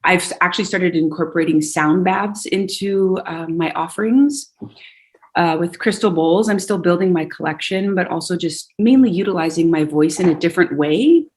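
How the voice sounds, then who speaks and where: A woman's voice: on the low side, moderate pace, darkish tone. Earnest reflective woman from Hawaii. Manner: earnest and reflective, with fillers and restarts. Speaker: podcast guest, singer and yoga teacher. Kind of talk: casual conversation.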